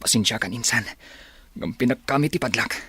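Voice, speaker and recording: A man's voice: medium pitch, a little fast, bright, forceful tone, husky, exaggerated voice. A man playing an earnest, stubborn rebel fighter in an Ilocano radio drama. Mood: apologetic, strained.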